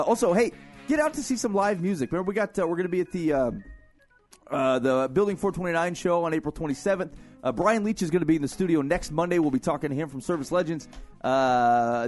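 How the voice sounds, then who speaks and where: Jokey man co-hosting a radio show. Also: fairly high pitch. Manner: jokey, teasing, laughs often. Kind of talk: casual studio banter.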